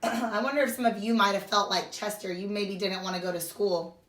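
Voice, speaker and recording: A woman's voice: mid-range voice, fairly even intonation, fairly quick, fairly bright tone. A woman teacher doing a read-aloud lesson for young children, explaining simply and addressing them directly. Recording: roomy echo.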